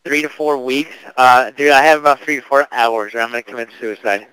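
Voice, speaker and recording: A young man speaks casually on a prank call. His voice is clear and dryly recorded, with little room sound. He plays the earnest customer, slangy and full of questions. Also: mid-range voice, a little fast, sharp tone, no room sound, rough recording. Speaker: prank caller.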